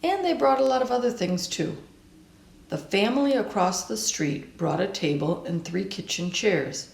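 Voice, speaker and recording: A woman reading a children's picture book aloud in a deliberate, expressive storytelling style. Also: fairly low pitch, creaky, reverberant. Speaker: storybook reader.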